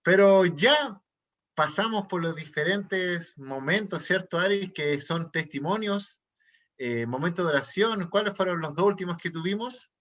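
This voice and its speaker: A man's voice: high-pitched, a little slow, fairly bright tone. A man co-hosting an online youth meeting, upbeat and filler-heavy, over a video call. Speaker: co-host. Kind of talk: livestream hosting.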